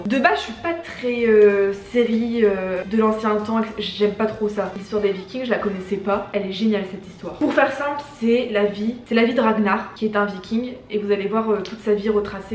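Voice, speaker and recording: A woman's voice: mid-range voice, darkish tone, roomy sound. A young woman YouTuber chatting casually to camera, slangy and full of swearing. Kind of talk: casual chat.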